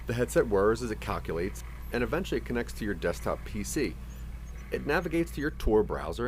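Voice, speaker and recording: A man reads scripted second-person fiction in a fairly low voice. His delivery is dramatic and animated, with pitch that moves up and down a good deal as he tells the story. There is clear background noise with a hum behind him. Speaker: narrator of an interactive audio drama.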